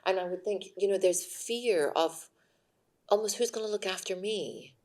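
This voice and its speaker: A woman's voice: mid-range voice, normal speed, a little mellow. A woman hosting a podcast interview, speaking supportively and hesitantly with many fillers. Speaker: podcast host. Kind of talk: conversational interview.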